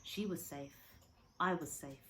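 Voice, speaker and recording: Lady teacher doing an expressive storytime read, lowish voice, dramatic pauses, bit of noise behind her. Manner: dramatic, emphatic, with deliberate pauses and varied volume. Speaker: primary school teacher reading to a class.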